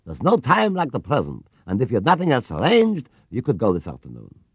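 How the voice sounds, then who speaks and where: A man voicing a kindly, generous older gentleman shopkeeper in a storybook. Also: mid-range voice, wildly swooping pitch, fairly quick, breathy edge, no echo, studio quality, pitched above the performer's own voice, full character voice. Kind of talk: storybook character reading. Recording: dull 1970s cassette recording.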